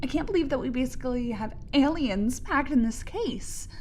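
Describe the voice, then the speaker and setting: A woman's voice: high voice, lively intonation, normal speed, a little mellow, slight background noise. A woman playing a meek, eager research assistant in an audio drama, earnest, hesitant and apologetic.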